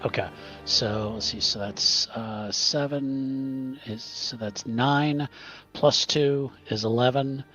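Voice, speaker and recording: A man's voice: medium pitch, fairly even intonation, slow pace, fairly bright tone, highs cut off, faint music behind. A man chatting casually as a player at a tabletop game, tentative and thinking aloud.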